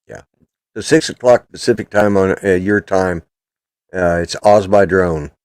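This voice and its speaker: A man's voice: low voice, unhurried, a little mellow, clean tone, no room sound, clean studio sound. An older man hosting a casual political chat show, talking in a blunt, rambling, opinionated way. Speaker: podcast host.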